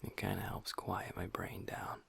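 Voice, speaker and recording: Soft sad werewolf bf, a guy doing it, super husky half-whispered voice, gravelly, audio rp. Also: deep voice, monotone, unhurried, heavily acted. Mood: subdued. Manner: soft, hushed, intimate talk to a partner. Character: gentle young male werewolf boyfriend, pack beta, guilt-ridden. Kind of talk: audio roleplay.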